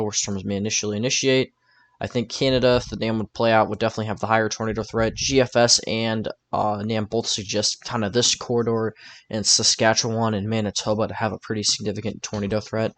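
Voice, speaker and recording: A man's voice: on the low side, level tone, a little fast. A man talking informally through a weather forecast over maps, thinking aloud in a casual, tentative way. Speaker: weather forecaster presenting his own video.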